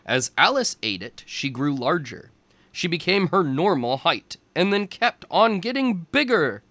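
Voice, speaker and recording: Guy teacher reading a kids storybook out loud, really slow, dry close sound. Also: on the high side, sing-song, no room sound. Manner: slow, deliberate phrasing for young listeners. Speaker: teacher reading aloud to young children. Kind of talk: storybook read-aloud.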